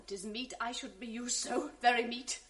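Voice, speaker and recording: An actress playing a wronged innocent young wife in a radio drama of classical verse, pleading and then hushed. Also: female voice, fairly high pitch, fairly even intonation, normal speed, natural voice. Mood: stunned hushed.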